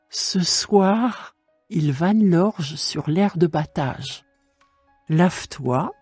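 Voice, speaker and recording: Woman acting older mother-in-law in a bible drama, husky n slow, gentle guiding tone, music underneath. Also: lowish voice, no echo, exaggerated voice. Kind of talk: dramatised scripture reading in French.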